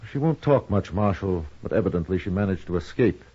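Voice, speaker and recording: An actor playing a formal, courteous frontier army colonel in a 1950s radio western, polite and matter-of-fact. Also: male voice, deep voice, expressive, a little fast, dark tone, husky. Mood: grave, explanatory. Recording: muffled vintage radio recording.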